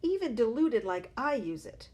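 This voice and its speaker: A woman voicing a loud, scornful mother who shrieks at her husband in a storybook reading. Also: a little high, very animated, normal speed, pitched above the performer's own voice. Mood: scolding. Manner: shrieking, stammering with outrage. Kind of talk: read-aloud storybook acting.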